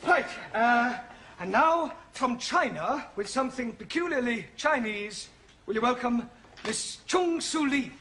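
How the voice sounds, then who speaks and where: An actor playing a polite, flustered teacher with an English accent, hosting a school concert in a sitcom. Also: male voice, extremely high pitch, expressive, a little slow. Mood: polite, announcing. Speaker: teacher and compere. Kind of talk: sitcom acting. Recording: loud noise behind the voice.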